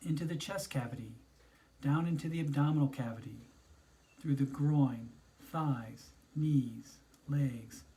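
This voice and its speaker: A man narrating a scripted guided meditation in a soothing, instructional way, pausing between phrases. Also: mid-range voice, dark tone, poor audio.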